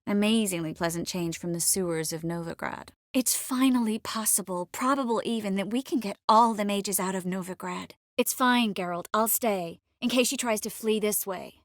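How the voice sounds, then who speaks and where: Woman voicing a young game sorceress, clever and warm, bit wry, mid pitch, super dry recording. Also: normal speed, hardly any pauses, no room sound, natural voice. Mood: wistful, warm. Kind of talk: video game character dialogue.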